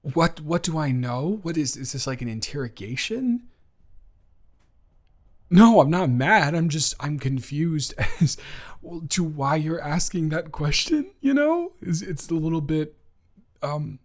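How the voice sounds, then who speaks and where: A man's voice: fairly high pitch, animated, unhurried. A man playing a flustered, befuddled young guy in an improvised roleplay audio, stammering and rambling as he talks to the listener. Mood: flustered, confused. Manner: stammering, rambling, awkwardly backtracking.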